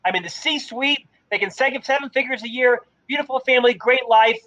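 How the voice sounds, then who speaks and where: A man's voice: very high voice, a little fast, lo-fi sound. A middle-aged man who has a stutter, telling stories energetically as a guest in an interview. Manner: energetic storytelling with a mild stutter. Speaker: podcast guest, leadership author. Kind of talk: conversational interview.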